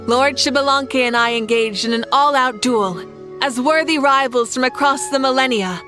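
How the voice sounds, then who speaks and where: Assured, higher-voiced warrior ruler. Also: female voice, moderate pace, sharp tone, soft background music. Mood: proud, amused. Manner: assured, ceremonial. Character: confident, gracious warrior ruler, a woman. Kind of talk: video game voice acting.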